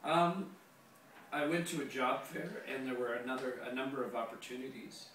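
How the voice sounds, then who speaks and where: A hoarse man, a teacher being interviewed, answering casually with many pauses and the odd dry joke. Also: mid-range voice, steady tone, fairly bright tone. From Canada. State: hoarse, losing his voice. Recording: very echoey room, distant mic, noisy.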